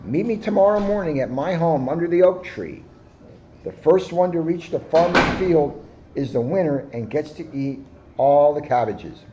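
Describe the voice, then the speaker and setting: A man's voice: fairly high pitch, expressive, normal speed, lo-fi sound, heavy background noise, voice pushed up, natural voice. A man voicing a greedy, boastful young male hare who taunts others. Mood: scheming. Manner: taunting and rude.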